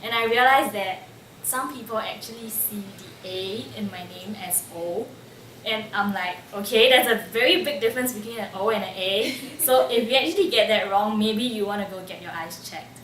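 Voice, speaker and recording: A woman's voice: mid-range voice, moderate pace, a bit bright, a little hiss or hum. Chatty woman in her twenties. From Singapore. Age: 20s. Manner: chatty, lots of "like" fillers. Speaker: interviewee. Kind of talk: casual personal storytelling. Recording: roomy room, mic at a distance, faint ambience.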